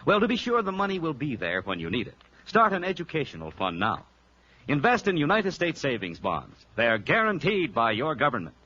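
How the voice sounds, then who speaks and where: A muffled vintage radio recording with faint hiss carries a male announcer. He has a bright, forceful voice with sweeping inflection and sells his scripted copy in a polished, persuasive way. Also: a little high, wildly swooping pitch, brisk.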